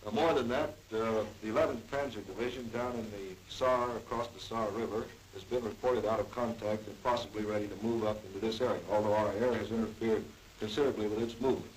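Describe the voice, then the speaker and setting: American male officer for a staff briefing. Lowish voice, matter-of-fact, off-mic on old hissy film. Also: steady tone, fast. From United States. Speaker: army intelligence officer briefing a superior. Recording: 1940s newsreel, distant mic, faint hiss.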